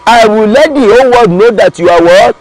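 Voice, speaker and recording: A man's voice: sits high, brisk, close to an everyday voice, rough recording. A man with a Nigerian English accent voicing a betrayed male snail farmer who exclaims and questions angrily. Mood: indignant.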